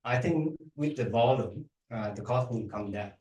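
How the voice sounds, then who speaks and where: A man with a Vietnamese accent speaking as an academic presenter, answering questions in a halting way with repeated words. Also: mid-range voice, warm tone. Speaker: academic researcher, guest speaker. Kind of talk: conference talk and audience Q&A. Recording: echoey room, distant microphone.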